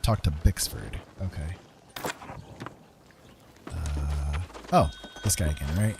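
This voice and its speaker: A man giving casual gameplay commentary, muttering and thinking out loud as he plays. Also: rumbling low, takes it slowly, gravelly, heavy background noise. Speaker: game streamer playing through a new game. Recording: game music behind the voice. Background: video game music and sounds.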